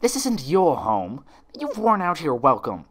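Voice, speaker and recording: A blunt country mare from a cartoon fan story, voiced by a man. She is folksy and stern, speaking a little above his narrating pitch. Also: wildly swooping pitch, a little slow, a bit bright, exaggerated voice. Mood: stern, accusing.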